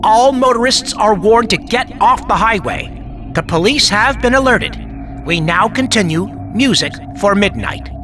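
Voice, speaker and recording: A man voicing a radio news announcer who reads an urgent bulletin in a rapid, clipped delivery. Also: high voice, expressive, music in the background, pitched above the performer's own voice.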